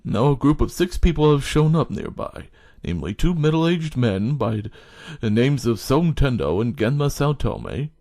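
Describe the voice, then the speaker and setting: A man narrates an audio play from a script. His mid-pitched voice is very animated with a lot of creak, in a dry recording. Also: no room sound.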